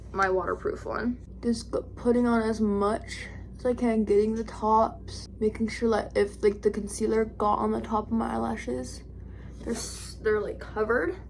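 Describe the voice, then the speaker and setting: A young woman with a raspy voice, chatting casually through a makeup tutorial, rambling and correcting herself as she goes. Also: fairly high pitch, slight background noise. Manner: rambling, self-correcting, lots of "like". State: raspy today. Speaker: vlogger.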